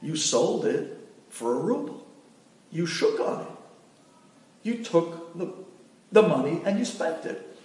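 A man's voice: on the high side, sing-song, takes it slowly, long pauses, darkish tone. A man voicing a stern heavenly court handing down a final verdict. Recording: echoey room, distant mic.

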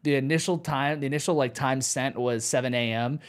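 A man's voice: medium pitch, fairly quick. A man of about thirty chatting casually as a livestream host, joking and swearing freely, close on a microphone. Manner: profane, animated, joking. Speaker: host of a music listening livestream. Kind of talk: casual chat. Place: small home studio room. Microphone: close microphone on boom arm.

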